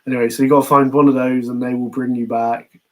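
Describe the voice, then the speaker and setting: A man's voice: mid-range voice, flat delivery, fast. A man with a British accent co-hosting a podcast, casually recapping a series and acting out bits of dialogue as he goes. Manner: chatty, acts out quoted lines in passing. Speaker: podcast co-host.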